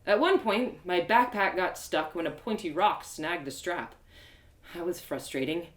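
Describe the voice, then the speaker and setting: A woman giving a theatrical, expressive dramatic reading of a story as its narrator. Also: fairly low pitch, unhurried. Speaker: author performing her audio drama. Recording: roomy, echoey sound.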